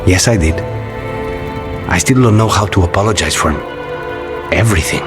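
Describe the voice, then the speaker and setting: An actor playing a guarded, loyal male assassin possessed by a spirit, speaking in a measured, dry way in a video game. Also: deep voice, over music, natural voice. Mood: soft, reserved.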